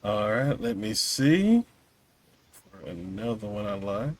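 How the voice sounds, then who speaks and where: A man's voice: lowish voice, big pitch swings, slow. A man hosting a podcast in a casual, laid-back, informal way. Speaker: podcast host. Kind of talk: casual chat.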